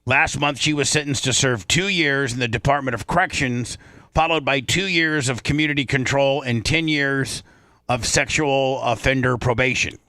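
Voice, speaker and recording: A man's voice: medium pitch, moderate pace, pushed and bright, no room sound. An American man hosting a comic talk radio show, bantering and reading news aloud, often stumbling and repeating words.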